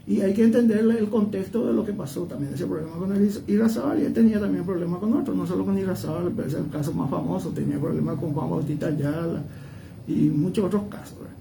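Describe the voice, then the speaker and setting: A man's voice: sits high, quick pace, vocal fry, a crowd in the background. A man with a Paraguayan accent giving conversational historical commentary as a guest, digressing and often checking for agreement. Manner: digressive, often seeks agreement with tag questions. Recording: noisy, distant microphone.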